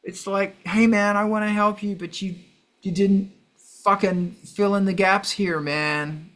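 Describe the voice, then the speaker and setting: A man's voice: high-pitched, fairly even intonation, a little slow, a bit bright. A man hosting a casual chat in a brash, sweary, self-mocking grumpy way. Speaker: host.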